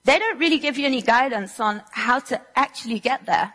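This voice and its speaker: A woman's voice: fairly high pitch, animated, brisk, fairly bright tone, in a large hall. A young woman from Switzerland giving a prepared talk to an audience in a room, telling personal stories with earnest delivery and a touch of dry humor. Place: lecture room before an audience.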